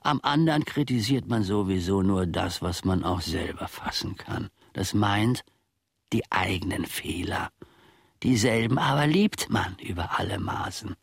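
A gravelly old manservant, a retired soldier full of grumbling self-importance. He muses slowly and theatrically, as in a radio play monologue. The sound is close and dry. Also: fairly low pitch, expressive, no echo, heavily acted. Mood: smug, musing. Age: older. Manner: pompous, musing, theatrical.

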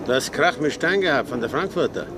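An actor playing a cautious, well-connected friend who placates and warns in a radio drama. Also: male voice, on the high side, lively intonation, fairly quick, background noise, close to an everyday voice. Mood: placating.

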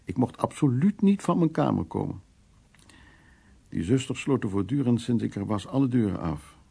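A man's voice: medium pitch, lively intonation, moderate pace, full character voice. An actor playing a worldly, blunt man who recounts wartime escapades in an earthy, wry radio drama monologue. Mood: wry recounting. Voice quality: gravelly, husky, dark.

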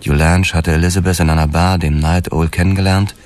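An actor narrating a noir radio drama as a world-weary police detective, terse, grim and sober. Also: male voice, deep voice, steady tone, a little fast, a little mellow, lightly acted.

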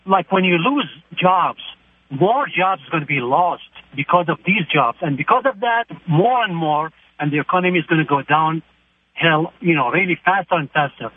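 A man's voice: fairly high pitch, lively intonation, moderate pace. A man from Iraq calling in to a radio talk show, earnest and searching as he talks about his situation. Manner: earnest, searching, plain-spoken. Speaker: caller. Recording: phone line.

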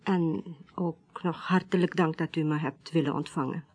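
A woman playing an estranged widow in a radio drama, speaking politely and haltingly, reserved but insistent. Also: low voice, steady tone, darkish tone, slightly rough. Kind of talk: naturalistic Dutch radio drama acting.

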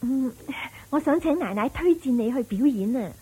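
An actress with a Hong Kong Cantonese accent playing a caring, teasing elder sister in a radio drama. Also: female voice, sits high, animated, normal speed, warm tone, breathy edge, exaggerated voice, low-quality recording. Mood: eager.

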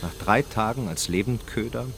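An actor as the sober, measured narrator of a radio crime drama, telling it in standard German. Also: male voice, lowish voice, vocal fry, heavy background noise. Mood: sober, suspenseful. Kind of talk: scripted present-tense narration in a radio drama.

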